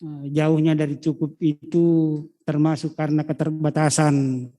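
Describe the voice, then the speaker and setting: A middle-aged man gives a talk as a guest history teacher. He speaks to the students in a fatherly, unhurried way and often stops on hesitant fillers while he searches for the next word. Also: fairly high pitch, flat delivery, dark tone. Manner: fatherly, calls listeners child, hesitant fillers. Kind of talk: lecture answering students.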